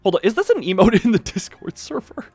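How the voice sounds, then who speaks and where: A man's voice: high-pitched, sing-song, fast talker, a little mellow, breathy edge, dry recording, faint music behind. A man hosting a livestream, rambling casually and jokingly as he goes off on tangents and talks back to chat. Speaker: livestream host, streamer.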